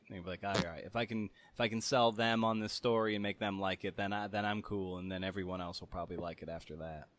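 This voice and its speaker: A man's voice: fairly low pitch, fairly bright tone. A man hosting a podcast in an informal, conversational way, often rephrasing as he goes. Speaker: podcast host. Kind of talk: conversational interview and podcast narration.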